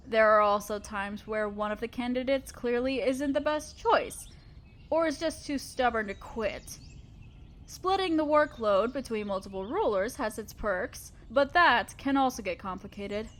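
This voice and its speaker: A woman playing a gentle, motherly spider queen in a scripted audio roleplay, speaking tenderly and patiently to the listener. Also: fairly high pitch. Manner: tender, patient, softly explaining.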